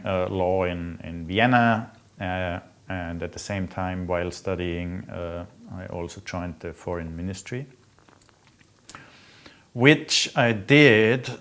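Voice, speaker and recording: Middle aged austrian guy w german as first language, deep voice, recounting his life unscripted, kinda halting and thoughtful. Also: vocal fry. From Austria, Salzburg province. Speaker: interviewee recounting own life. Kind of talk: unscripted personal storytelling.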